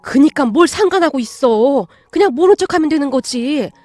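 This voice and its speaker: A woman playing a firm, calm mother. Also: high-pitched, no room sound, faint music behind. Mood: reproachful. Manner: measured, reproachful.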